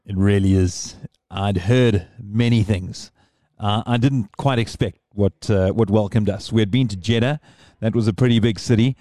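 A man's voice: lowish voice, normal speed, mellow, no room sound, clean studio sound. Chatty man hosting a podcast. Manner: chatty, rambling, digressive. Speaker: podcast host. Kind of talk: casual podcast chat.

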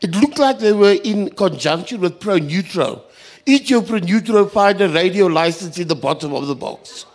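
A man's voice: sits high, animated, normal speed. A South African man giving an impassioned panel speech, indignant and sarcastic, hammering phrases home by repeating them. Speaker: community radio sector representative on a panel.

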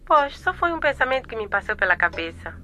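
An actress playing a clingy, coaxing secret mistress in a radio soap. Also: female voice, fairly high pitch, very animated, a little fast, pushed and bright, a little hiss or hum. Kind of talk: radio soap opera acting.

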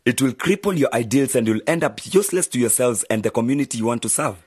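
An actor playing an older brother who firmly warns his sibling against bribery, with a husky voice. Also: mid-range voice, expressive, fast, darkish tone, no echo, clean studio sound. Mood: concerned. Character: older brother giving stern moral advice. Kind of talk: radio drama acting.

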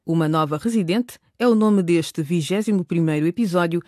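A woman giving scripted narration for a radio drama, recapping the story in a clear storytelling manner. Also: fairly low pitch, a little fast, a little mellow, no echo, studio quality.

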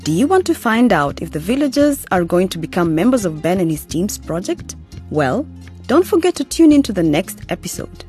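A woman's voice: mid-range voice, very animated, normal speed, dark tone. A woman presenting a radio series, reading a scripted sign-off to listeners. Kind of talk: scripted radio outro narration. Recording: music bed under the voice. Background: faint music.

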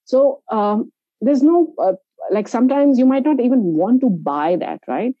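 A woman with an Indian accent giving explanatory, halting conversational answers as an interview guest. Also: a little high, expressive, no echo, dull audio. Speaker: interview guest, inclusive travel organiser.

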